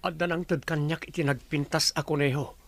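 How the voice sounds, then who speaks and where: A man's voice: fairly high pitch, steady tone, fairly quick, creaky, faint music behind. A man acting an earnest young boss with an Ilocano accent in a radio drama. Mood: puzzled.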